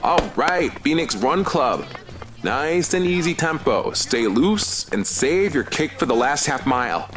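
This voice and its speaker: A man's voice: a little high, sing-song, measured pace, a bit bright, soft background music. A man playing a friendly, earnest running buddy obsessed with cryptids in an audio drama, easygoing and playful. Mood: upbeat, coaching. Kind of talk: conversational audio drama acting.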